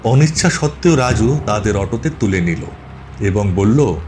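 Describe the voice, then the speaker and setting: Low-pitched man narrating a ghost story. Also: expressive, moderate pace, a little mellow, a little hiss or hum.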